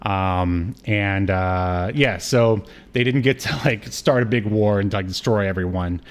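A man co-hosting a podcast, chatting casually about television in a hesitant, halting way as he recaps. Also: lowish voice. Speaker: podcast co-host. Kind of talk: casual TV review chat.

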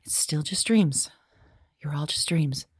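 A frightened woman, played by a woman murmurs in a husky whisper. Her voice sits low and moves slowly, looping back over the same words. She sounds close to breaking. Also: darkish tone, no echo, full character voice. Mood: panicked. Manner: whispering, repeating herself. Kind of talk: audio drama monologue.

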